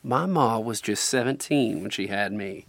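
A man's voice: on the low side, moderate pace, vocal fry, dry recording. Flirtatious Southern country man. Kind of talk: old-time radio drama acting.

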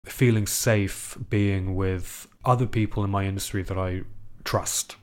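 Brief: young man, very low voice, British accent, reflective guest, hesitant with fillers. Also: steady tone, a little mellow. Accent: British English. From Iceland. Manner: thoughtful, halting, many fillers and restarts. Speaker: guest, composer. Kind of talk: reflective interview conversation.

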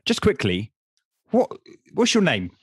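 A man's voice: fairly high pitch, wildly swooping pitch, measured pace, husky, dry recording. A man hosting a tech podcast, giving an upbeat intro and asking casual follow-up questions. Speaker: podcast host. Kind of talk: podcast interview.

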